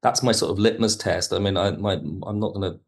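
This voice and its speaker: A man's voice: low-pitched, a little fast, creaky. A man speaking as a writer guest in a reflective podcast interview, circling back and rephrasing as he explains. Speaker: guest, audio drama writer.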